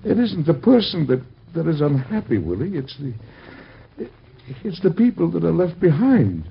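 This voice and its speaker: An actor playing a devout, grieving father and president, husky and gravelly, in a solemn, measured way of talking, from an old radio drama. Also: on the high side, very animated, highs cut off, full character voice. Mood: gentle. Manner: solemn, measured, gently reassuring.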